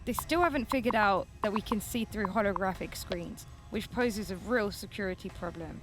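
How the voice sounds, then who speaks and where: An actress playing a brisk, impatient, self-assured woman leading a space heist in a sci-fi audio comedy, dry and bossy. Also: medium pitch, over music, close to an everyday voice. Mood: brisk, focused.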